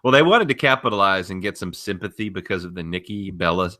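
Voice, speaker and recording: A man with a lowish, bright and punchy voice co-hosts a wrestling podcast. His chat is casual and critical, delivered in a matter-of-fact way. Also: expressive, fast talker. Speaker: podcast co-host.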